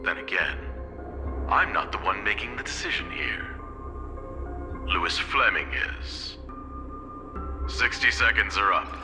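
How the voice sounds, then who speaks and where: Low, slow, shrewd corporate executive. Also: male voice, animated. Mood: cool, wry. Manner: suave, deliberate, declarative. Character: shrewd, composed male corporate executive, coolly formal. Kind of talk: scripted video game voice acting. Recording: clear music behind.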